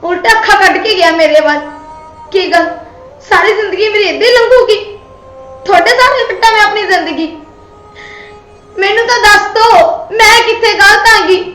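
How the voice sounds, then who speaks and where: A woman's voice: very high voice, normal speed, pauses often, pushed and bright. A woman playing a tearful wronged young wife. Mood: hurt, accusing. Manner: emotional, tearful pleading. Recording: roomy echo, faint music.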